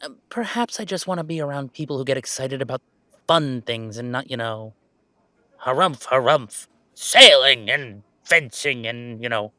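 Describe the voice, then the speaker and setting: A man playing a laid-back young werewolf gamer in an intimate audio roleplay, chatting in a wry, halting, casual way. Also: fairly high pitch, bright, forceful tone, dry recording. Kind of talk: intimate roleplay audio, naturalistic one-sided conversation.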